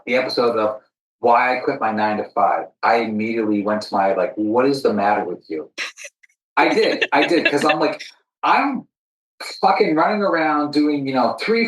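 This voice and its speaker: Echoey, distant man hosting casually. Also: mid-range voice. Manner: self-deprecating, emphatic, repeats himself. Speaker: podcast host, actor. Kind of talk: casual chat. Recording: echoey room, distant from mic.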